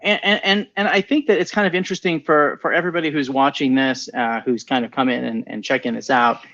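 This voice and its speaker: A man's voice: medium pitch, fairly even intonation, fast talker, fairly bright tone, highs cut off. A man in a casual webinar conversation, talking with enthusiasm and repeating himself as he starts sentences. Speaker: venture capital partner and co-host.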